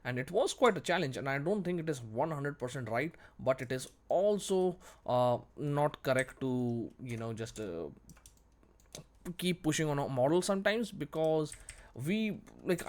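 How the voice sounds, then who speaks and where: A man giving an unscripted tutorial as an instructor, explaining conversationally and repeating words for emphasis. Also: medium pitch. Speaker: 3D modelling instructor, video host. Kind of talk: unscripted software tutorial narration.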